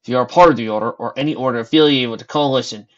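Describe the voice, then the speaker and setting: A man's voice: medium pitch, racing, lightly acted. A man voicing a stiff, formal headquarters phone operator, on a dull lo-fi recording.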